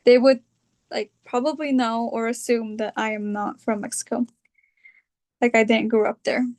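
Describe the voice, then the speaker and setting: A young woman from California with a California accent, talking about her own experience in a reflective, hesitant way, often pausing on fillers. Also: a little high, fairly even intonation, darkish tone. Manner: hesitant, thinking aloud with fillers. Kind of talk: reflective personal talk.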